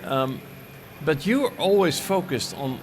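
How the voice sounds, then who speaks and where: A man's voice: on the high side, animated, a little slow, noisy background. A man from the Netherlands, moderating a conference stage interview in a curious, conversational way with light humor.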